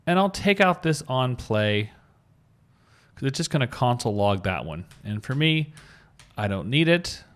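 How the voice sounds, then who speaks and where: A man's voice: mid-range voice, sing-song, a little slow. A man giving an upbeat, informal screencast coding tutorial, explaining things step by step. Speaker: web developer giving a tutorial.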